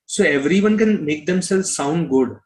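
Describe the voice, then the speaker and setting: A man's voice: on the high side, lively intonation, moderate pace. A man speaking as an interview guest, blunt and opinionated, often repeating himself. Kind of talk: conversational interview.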